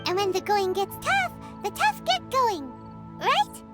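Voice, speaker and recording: Casting: tiny perky game sidekick fairy girl, extremely high squeaky voice, very animated swings, over loud music. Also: slow, exaggerated voice. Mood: concerned, encouraging. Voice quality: squeaky, childlike. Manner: perky, speaks of self in third person. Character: tiny bubbly childlike fairy girl companion. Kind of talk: video game character voice acting. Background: tinkling game music.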